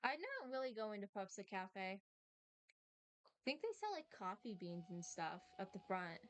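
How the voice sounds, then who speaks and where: A woman's voice: mid-range voice, very animated, a little slow. A woman streaming a video game with casual, exclamatory, sweary reactions. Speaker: livestream gamer.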